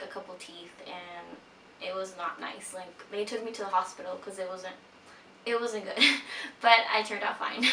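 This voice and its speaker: Bright-voiced young woman, chatty and echoey. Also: medium pitch, slight background noise. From Peru. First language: Spanish. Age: 20s. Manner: chatty, frequent "like" fillers, giggly asides. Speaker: vlogger. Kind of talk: casual sit-down vlog chat. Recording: roomy echo, voice a bit far from mic.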